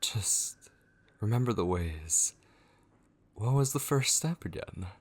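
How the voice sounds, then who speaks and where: Man playing an obsessive male kidnapper, deep voice, breathy and whispery, slow lingering phrases, menacingly tender, horror ASMR roleplay. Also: lively intonation, exaggerated voice. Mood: taunting, hushed. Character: obsessive, possessive male kidnapper, unhinged, taunting and devoted.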